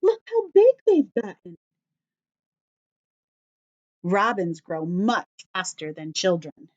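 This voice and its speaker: An American woman reading aloud to young children like a teacher, expressive and sing-song, addressing them with questions. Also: mid-range voice, takes it slowly, highs cut off. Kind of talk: children's story read-aloud.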